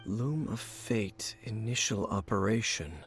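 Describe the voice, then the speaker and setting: A world-weary game warrior with a low voice full of gravel. He speaks gravely and cryptically, in solemn measured phrases. Faint music sits behind him. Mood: grave, pondering. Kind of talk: solemn video game voice acting.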